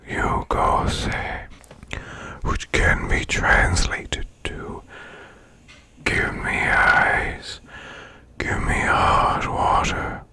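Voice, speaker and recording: A man voicing a demon boss who reads eerie folklore as bedtime ASMR in a slow, hushed, growling rasp with long pauses. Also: heavily acted. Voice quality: growling, gravelly, breathy rasp.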